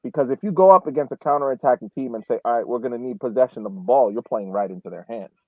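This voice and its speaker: A man with a mid-pitched voice talks through casual sports analysis in an explanatory way. The audio is dry and heavily band-limited, like a phone call. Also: quick pace, dry recording. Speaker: podcast panelist.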